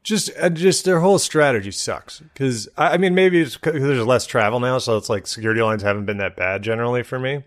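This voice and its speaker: A man's voice: mid-range voice, a little fast, few pauses. A man co-hosting a comedy podcast, chatting casually in a rambling way and acting out imagined quotes.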